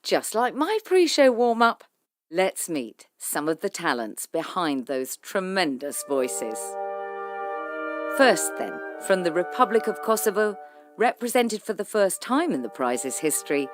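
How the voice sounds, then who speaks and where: A woman presenting a radio arts programme, reading scripted narration in a measured, expressive way. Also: lowish voice, music in the background.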